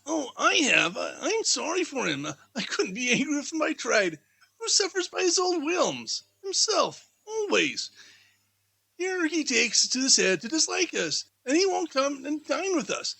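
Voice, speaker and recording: A man voicing a cheerful, good-natured young Victorian gentleman in a chatty, animated way for a storybook. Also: very high voice, a little slow, creaky, voice pushed up, exaggerated voice. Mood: cheerful, pitying.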